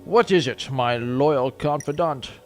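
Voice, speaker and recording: A man's voice: medium pitch, measured pace, darkish tone, soft background music, natural voice. A man playing a vain, pompous space commandant in a comedic audio drama, with a flowery, self-important way of talking. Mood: dreamy, pompous.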